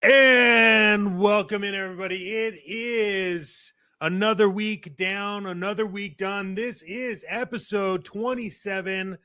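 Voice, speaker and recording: A man's voice: sits high, steady tone, slow, hardly any pauses, sharp tone, dry recording. A man hosting a podcast in a casual, chatty style, with a playful, mock-grand manner. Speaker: podcast host. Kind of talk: casual chat hosting a show.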